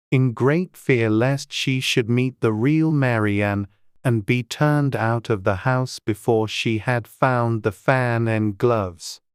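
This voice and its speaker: A man narrating a storybook audiobook in a gentle, measured, good-humoured way. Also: medium pitch, clean tone, no room sound, clean studio sound.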